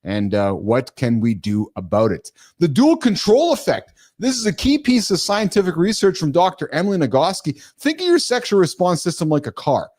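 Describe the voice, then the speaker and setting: Animated middle-aged man hosting a live show. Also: normal speed, few pauses. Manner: animated, calls listeners guys, jumps in pitch. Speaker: host of a live morning show. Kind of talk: casual live-stream talk show.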